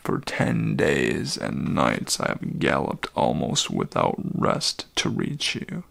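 A man voicing a very old, weary male centaur with a deep, gravelly voice, pleading slowly and heavily in a dramatic storybook reading. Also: huge pitch swings, a bit bright, no echo, heavily acted. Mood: urgent, weary. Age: older.

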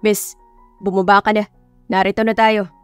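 A woman's voice: medium pitch, level tone, normal speed, smooth, no room sound, faint music behind, lightly acted. A woman voicing a friendly, curious male driver in an audio story, casual, with a Filipino accent. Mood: serious. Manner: casual, questioning.

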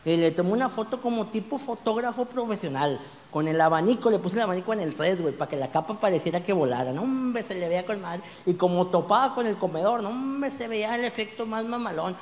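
A man, doing an animated stand-up routine full of crude slang on a small club stage, holding a handheld mic. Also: sits high, quick pace, few pauses, long reverb tail, slight background noise. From Mexico. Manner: animated, gesturing broadly, crude slang, rising to shouts on punchlines. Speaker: stand-up comedian.

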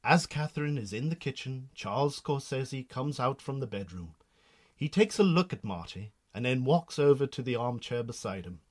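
A man's voice: mid-range voice, animated, normal speed. A man with a Northern Irish accent narrating a scripted radio drama as a storyteller.

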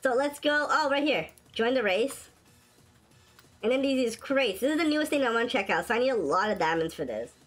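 Raspy-voiced excitable gaming host. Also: female voice, fairly high pitch, fairly quick. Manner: excitable, shrieks and laughs at wins and deaths. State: sick, sore throat. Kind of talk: casual gameplay commentary. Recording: faint game music behind.

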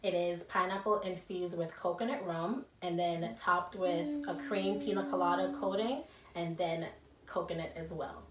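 A woman's voice: lowish voice, steady tone, normal speed. A young woman from Jamaica, a guest talking casually and laughing often about her dessert business. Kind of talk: casual chat. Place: studio set, indoors. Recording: roomy, slightly distant sound.

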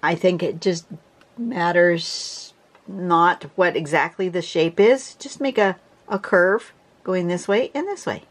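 Woman, congested, lower voice, casual drawing lesson, clears her throat. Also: slow pace. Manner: chatty, restarts a lot, clears her throat. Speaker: drawing teacher. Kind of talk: casual tutorial narration. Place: home desk, camera over the drawing surface.

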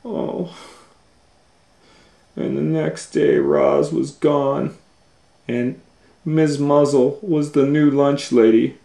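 A man reading a children's storybook aloud as host, with drawn-out emphatic phrasing and little asides. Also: a little high, fairly even intonation, creaky, dark tone. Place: indoor room, reading a book on a table. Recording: roomy, echoey indoor sound.

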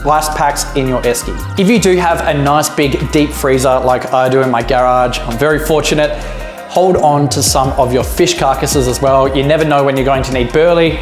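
A man's voice: medium pitch, moderate pace, over music. Upbeat Australian man in an echoey garage. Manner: upbeat, talks with his hands. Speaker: fishing channel host. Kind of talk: fishing tips vlog to camera.

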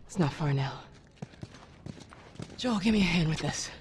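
A woman's voice: animated, measured pace. Terse, commanding rebel leader woman. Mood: weary, commanding. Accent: American. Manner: terse, firm, authoritative. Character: weary, commanding female rebel militia leader, middle-aged. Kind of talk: dramatic video game acting. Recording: game cutscene with noticeable rumble.

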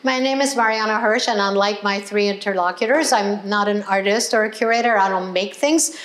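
An older woman speaking as an academic on a panel, articulate, earnest and measured. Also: mid-range voice, fairly bright tone. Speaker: professor and writer, panelist. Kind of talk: reflective panel discussion. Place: gallery room, indoors.

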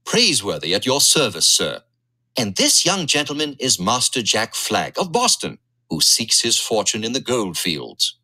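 A man's voice: lowish voice, wildly swooping pitch, normal speed, pushed and bright, full character voice. A man voicing a polite, formal middle-aged butler in a read-aloud story. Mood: courteous. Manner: formal, courteous.

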